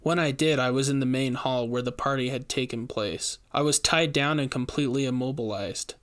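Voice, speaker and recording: A man's voice: medium pitch, a little fast, fairly bright tone, clean tone. Man narrating a first-person horror tale. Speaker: storyteller.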